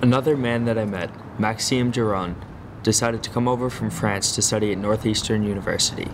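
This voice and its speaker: A man's voice: lowish voice, steady tone, fairly quick, background noise. A young man reading an earnest, formal scripted narration for a student documentary. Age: young adult. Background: music.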